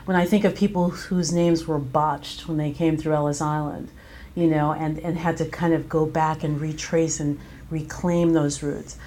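Low-pitched American woman, thoughtful interviewee. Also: fairly even intonation, moderate pace, warm tone, slight background noise. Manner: thoughtful, explanatory, builds lists with repeated phrases. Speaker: guest playwright. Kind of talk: reflective interview conversation.

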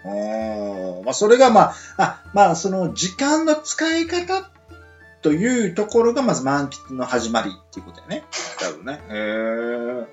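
A man with a western Japanese accent hosting a casual radio chat, joking and often chiming in with quick responses. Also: mid-range voice, very animated, faint music behind. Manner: joking, frequent backchannel responses. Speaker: radio show host. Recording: roomy, slightly muffled recording.

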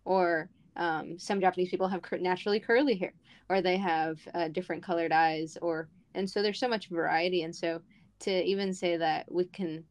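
A woman's voice: fairly low pitch, fairly even intonation, moderate pace. A young woman and co-host talking earnestly and at length in a casual chat, with frequent "um" and "like". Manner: earnest, talkative, many fillers.

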